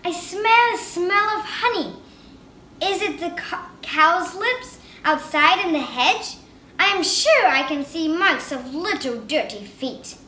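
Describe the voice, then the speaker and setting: A very high, bright little voice for a house-proud storybook mouse lady, prim and quick to scold, as a woman reads her. Her pitch swoops about and the words come out slow and careful. The room is echoey with a faint hiss. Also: lively intonation, full character voice. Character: fussy, tidy little female woodmouse.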